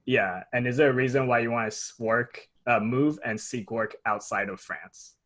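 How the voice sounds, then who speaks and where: A man's voice: medium pitch, normal speed, fairly bright tone. A man moderating an online workshop, reading out an audience question and talking in a plain, conversational way.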